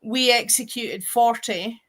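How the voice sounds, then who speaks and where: A woman co-hosting a casual podcast, retelling a dark story in an animated way. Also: on the high side, takes it slowly. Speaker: podcast co-host. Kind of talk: casual chat.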